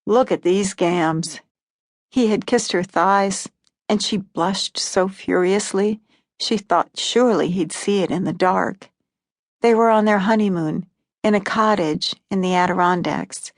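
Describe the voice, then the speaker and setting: A woman narrating literary short stories in a calm, even voice of middling pitch. The recording is close and dry, with no room around it. The delivery stays steady and unhurried. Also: dry recording. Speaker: audiobook narrator.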